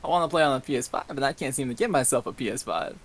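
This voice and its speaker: A man's voice: medium pitch, very fast. A man chatting casually as co-host of a group livestream, jokey and often chuckling. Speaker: co-host and story reader.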